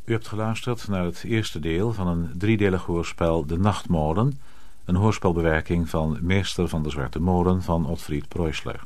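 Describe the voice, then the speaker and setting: A man's voice: low-pitched, normal speed, a little mellow. A man reading scripted closing credits as a radio announcer.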